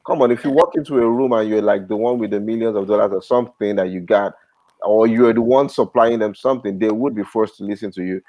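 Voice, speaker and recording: Low-voiced Nigerian man, dry sound. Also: fast, dark tone, no room sound, low-quality recording. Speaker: panelist. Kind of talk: casual discussion.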